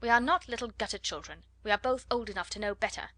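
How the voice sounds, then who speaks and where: A woman voicing a kind, composed young girl who speaks steadily and calmly. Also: medium pitch, fairly quick. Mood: firm. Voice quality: steady, calm.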